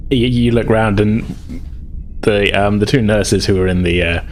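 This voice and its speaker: A man's voice: lowish voice, moderate pace, a little hiss or hum. A man improvising scene narration as game master, in a hesitant, conversational way.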